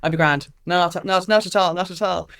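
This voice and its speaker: A woman's voice: fairly low pitch, a little fast, fairly bright tone. An Irish woman speaking as an activist guest in a candid interview, with an emphatic, impassioned way of talking.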